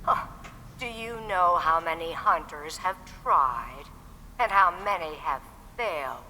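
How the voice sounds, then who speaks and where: A woman's voice: low voice, lively intonation, sharp tone, full character voice. A woman acting a taunting, mocking villainess who gloats with menace over an intercom in an audio drama. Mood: amused menace. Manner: slow, gloating delivery. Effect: intercom in a hollow space. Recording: long hall reverb, faint noise.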